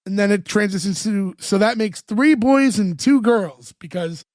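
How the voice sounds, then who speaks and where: Brief: podcast co-host, man, high voice, dry close sound, chatty and filler-heavy, voice getting worn. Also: expressive, a little slow, darkish tone, no echo. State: losing his voice. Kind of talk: casual chat, recapping a TV episode.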